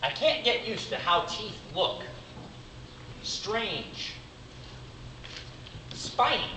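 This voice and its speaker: A man playing a weary, devoted big brother in a theatrical stage monologue, speaking deliberately with dramatic pauses. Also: sits high, sing-song, sharp tone, exaggerated voice. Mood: uneasy, reflective. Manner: deliberate, dramatic pauses between short phrases. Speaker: amateur drama club actor. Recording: distant mic, echoey room, clearly noisy.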